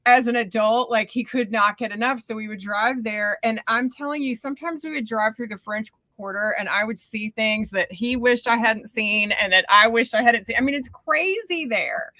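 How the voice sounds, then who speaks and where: Woman, Texas accent, guest author, chatty storyteller, bright, dry sound. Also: a little high, moderate pace, hardly any pauses, no room sound. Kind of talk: casual interview chat.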